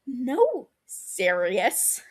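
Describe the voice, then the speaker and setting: A woman voicing a bitter, wounded younger brother in an audio story, heated and scornful, breaking into breathy hurt. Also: a little high, huge pitch swings, drawn-out words, heavily acted. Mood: mocking, bitter.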